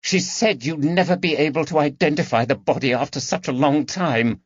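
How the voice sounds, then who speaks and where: A man's voice: fairly high pitch, normal speed, no room sound, muffled recording, pitched above the performer's own voice, close to an everyday voice. A man voicing a frightened, resentful young swindler in an audiobook, defensive and pleading. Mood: angry, resentful. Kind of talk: audiobook character dialogue.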